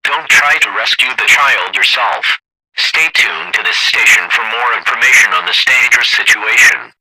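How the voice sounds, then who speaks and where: Male alert announcer, lowish and very sharp voice with some rasp, scripted and impersonal broadcast delivery. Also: animated, normal speed, low-quality recording. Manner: formal, impersonal.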